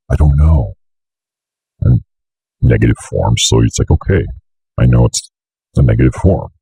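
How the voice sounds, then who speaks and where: A young man giving a casual, offhand screencast tutorial with some swearing, in a very deep, fry-laden voice close to a desk mic. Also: dark tone, no echo, rough recording. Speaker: tutorial host. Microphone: close desk microphone on an arm.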